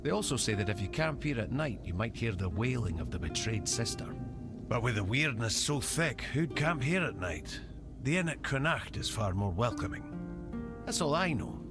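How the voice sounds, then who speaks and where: An actor playing a cynical, shadowy guild member in a fantasy game, speaking in a measured, wry, secretive way. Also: male voice, medium pitch, big pitch swings. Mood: guarded, informative. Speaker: quest giver in a fantasy game. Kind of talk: scripted fantasy game dialogue. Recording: music behind the voice.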